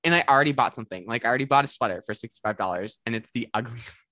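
A teenage boy hosting his own podcast, talking in a loose, rambling way and filling his sentences with "like." His voice sits at an ordinary pitch. It is recorded dry and close, with no room sound. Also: racing, sharp tone, no echo. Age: teens. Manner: chatty, rambling, lots of "like". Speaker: podcast host. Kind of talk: casual chat.

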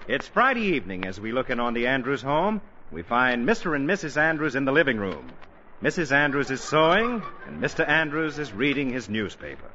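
A man's voice: mid-range voice, big pitch swings, a little fast, sharp tone. A man announcing a radio show with scripted narration in 1940s broadcast style. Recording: muffled old broadcast, crowd and heavy noise.

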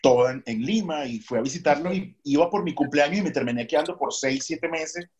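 A man with a Venezuelan accent hosting a casual podcast, talking in an animated way, quick in places and slow in others, with fillers. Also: on the high side, highs cut off. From Maracay, Venezuela. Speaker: podcast host; baseball stadium announcer.

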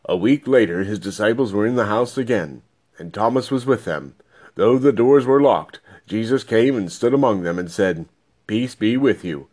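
Guy narrating a bible chapter, reading it out, fairly low voice. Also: expressive, normal speed, a little mellow. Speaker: Bible reader. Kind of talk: scripted narration of scripture.

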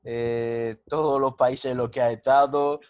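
A man's voice: mid-range voice, level tone, unhurried, a little mellow, clear, resonant tone, no room sound. Man hosting, dry sound. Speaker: podcast host and strength coach. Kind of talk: casual podcast interview chat.

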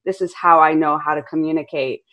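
A woman's voice: deep voice, steady tone, a little fast, fairly bright tone. A woman hosting a conversation, talking openly and chattily with many fillers. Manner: open, chatty, lots of "you know". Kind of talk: casual conversation.

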